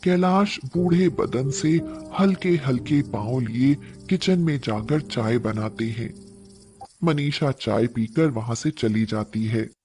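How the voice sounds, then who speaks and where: A male narrator reads a scripted story at a very unhurried pace. His pitch sits in the middle range for a man. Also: a little mellow, soft background music.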